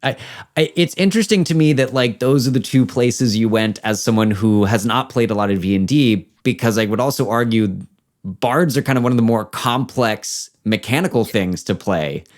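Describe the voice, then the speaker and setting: Man, average pitch, podcast host, informal conversational interviewing. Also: normal speed. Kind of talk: casual interview chat.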